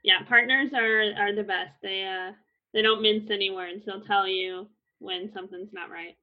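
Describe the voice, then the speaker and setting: Woman, 30s, Spanish teacher, easygoing chat with plenty of ums, mid-pitched, slightly dull lo-fi sound. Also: normal speed. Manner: relaxed, with frequent fillers and restarts. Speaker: Spanish instructor. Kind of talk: casual conversational explanation. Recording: slightly muffled lo-fi recording.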